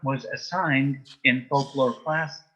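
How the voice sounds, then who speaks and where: A man with a dry, deadpan sense of humour, telling anecdotes and jokes as an interview guest, in a husky voice. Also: mid-range voice, slow, slight background noise, low-quality recording. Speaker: interview guest, author and former folklorist.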